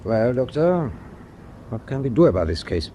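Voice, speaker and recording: A man's voice: mid-range voice, sing-song, moderate pace, very noisy, lightly acted. Stern, commanding ship's captain played by a man. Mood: grave, commanding. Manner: curt, commanding, impatient. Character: stern, imperious German ship's captain in wartime. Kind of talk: radio drama acting.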